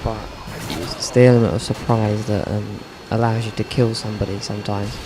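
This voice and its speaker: Male game commentator, dry monotone delivery, low voice, gunfire behind. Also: a little fast, noisy background. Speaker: gameplay commentator.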